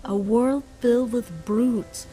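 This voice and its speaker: A woman voicing a wise, nurturing mother deer in an animated comic dub, gentle and unhurried with a teasing side. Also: fairly high pitch, faint music behind, rough recording. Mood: earnest, reassuring.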